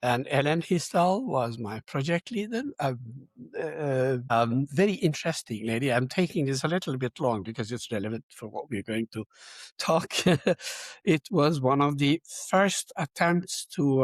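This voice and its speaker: A man's voice: medium pitch, lively intonation, a little slow, no echo. An older man from Turkey, explaining things conversationally and with digressions as an interview guest. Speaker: guest, computer science educator. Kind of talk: interview self-introduction, conversational.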